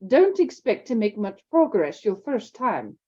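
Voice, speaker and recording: A woman voicing a stern, brisk older headmistress who gives slow, precise commands. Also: on the high side, sing-song, mellow, slightly rough, dull audio, voice pushed up, exaggerated voice.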